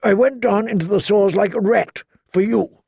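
Man doing aging knight, pleading, halting, raised and slowed, gravelly, dry. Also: high voice, a little mellow, no room sound, voice pushed up, full character voice. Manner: halting, earnest, stammering.

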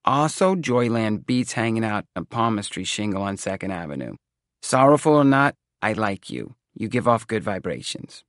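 A man voicing a brisk, direct middle-aged woman with a Brooklyn accent, frank and familiar, in an audiobook. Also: on the low side, very animated, no echo, studio quality. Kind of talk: audiobook character acting.

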